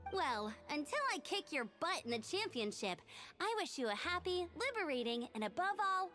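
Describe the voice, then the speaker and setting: High-pitched peppy young champion. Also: female voice. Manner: bright-spirited, teasing, expressive. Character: peppy, competitive young woman gliding champion. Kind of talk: video game voice acting.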